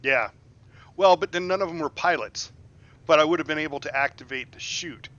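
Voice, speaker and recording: Bright-voiced man chatting over gameplay. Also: a little high, fairly quick, highs cut off. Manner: chatty, laughs often, jokey asides to chat. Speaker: game streamer. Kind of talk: casual live gameplay commentary.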